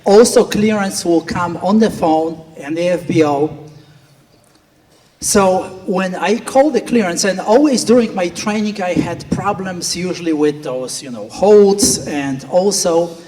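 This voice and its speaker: A man's voice: high voice, measured pace, warm tone. A middle-aged man with a Polish accent gives an earnest speech at a gala, telling a story with quoted inner voices. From Poland. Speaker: flight instructor giving a speech. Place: large banquet hall. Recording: distant speaker in a reverberant hall.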